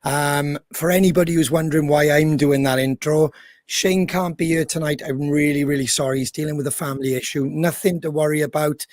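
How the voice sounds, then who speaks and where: A hoarse, croaky man hosting his band's podcast in a chatty way, with frequent ums. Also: on the high side, steady tone, brisk, darkish tone, dry recording, clean studio sound. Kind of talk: casual chat.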